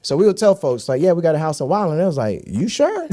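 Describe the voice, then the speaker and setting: A man's voice: a little high, wildly swooping pitch, quick pace, mellow, a little hiss or hum. A man in his thirties from Florida, moderating a panel in a conversational way with meandering, anecdotal lead-ins. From Florida, United States.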